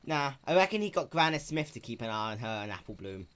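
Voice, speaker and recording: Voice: mid-range voice, sing-song, quick pace, fairly bright tone, clean tone. A performer voicing a plain-spoken, hardworking young country mare with an earnest, folksy rural drawl. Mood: worried.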